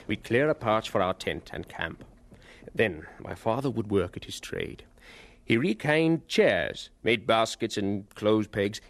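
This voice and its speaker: Low-voiced old storyteller reminiscing. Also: male voice, creaky, no room sound. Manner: theatrical, reminiscing, savouring pauses. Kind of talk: dramatized first-person radio narration. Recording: noisy vintage radio drama recording.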